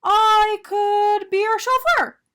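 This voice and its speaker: A woman's voice: extremely high pitch, fairly even intonation, slow, a bit bright, voice pushed up, full character voice. A woman voicing a small, eager male talking doughnut in a picture-book story, bubbly, earnest and exclamatory. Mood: hopeful, pitching ideas. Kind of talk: animated picture-book character reading.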